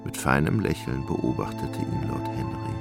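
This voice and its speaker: Extrem tiefer, knarrender Erzähler. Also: male voice, extremely low pitch, moderate pace, creaky, over loud music. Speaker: narrator. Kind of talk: scripted literary narration for a radio play.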